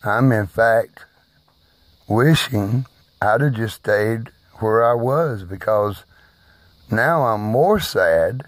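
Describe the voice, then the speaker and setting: A man speaking slowly and haltingly in a personal video monologue, who is hard of hearing and raises his voice at times. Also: lowish voice, no echo. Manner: slow, halting, emphatic delivery.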